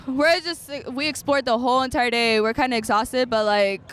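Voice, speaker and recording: A woman's voice: fairly high pitch, steady tone, normal speed, fairly bright tone, clean tone. A young woman from Seattle chatting casually on a city street, laid-back and slangy, leaning on "literally.". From Seattle, United States. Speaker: interviewee. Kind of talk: casual chat.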